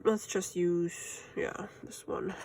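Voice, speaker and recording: A woman's voice: on the low side, fairly even intonation, slow pace, heavy background noise. A woman with a German accent, German being her first language, chatting casually and laughing at herself while she makes crafts at home. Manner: chatty and self-amused, laughs often, talks to herself while working. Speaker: crafting channel host.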